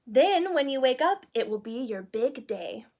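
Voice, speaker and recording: A woman voicing a gentle, reassuring queen and mother in a children's storybook. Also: high-pitched, very animated, measured pace, heavily acted. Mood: soothing.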